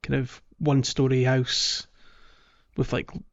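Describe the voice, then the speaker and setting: A man's voice: mid-range voice, completely flat delivery, a little slow, highs cut off. A man co-hosting a gaming podcast in casual, rambling chat, thinking aloud as he goes. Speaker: podcast co-host.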